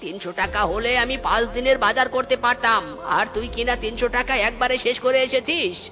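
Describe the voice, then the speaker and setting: A man performs a stingy, hot-headed village uncle from a cartoon comedy. His voice is bright and forceful. He grumbles and scolds in big exclamations. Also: very high-pitched, fairly quick, soft background music. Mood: angry. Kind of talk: exaggerated Bengali cartoon comedy dialogue.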